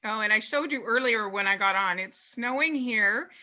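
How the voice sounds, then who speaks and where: A woman's voice: fairly high pitch, normal speed, sharp tone, low-quality recording. A woman hosting an interview over a video call, asking questions in a chatty, friendly way. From lives in British Columbia, Canada. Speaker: host.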